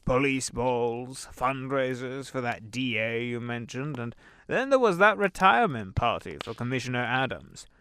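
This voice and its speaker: A man's voice: mid-range voice, animated, moderate pace, fairly bright tone, clean tone, no room sound, clean studio sound, close to an everyday voice. A man playing an urbane, sly old devil in an audio drama, wordy and courteous, savoring his phrases. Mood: genial, sly. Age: older.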